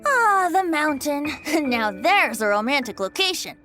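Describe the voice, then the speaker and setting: A playful young male bard, voiced by a woman, speaks in a high, lilting voice that swoops up and down. His airy, theatrical delivery has a teasing edge. Game music plays underneath. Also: sing-song, unhurried, pushed and bright, exaggerated voice. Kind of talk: video game dialogue.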